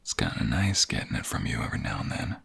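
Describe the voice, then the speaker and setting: Male actor as protective mobster lover, extremely low pitch, gravelly fry, soft halting delivery, intimate ASMR romance roleplay. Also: very animated, exaggerated voice. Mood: tender, earnest. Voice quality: husky, gravelly, breathy low voice. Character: tender, protective young male mobster in love.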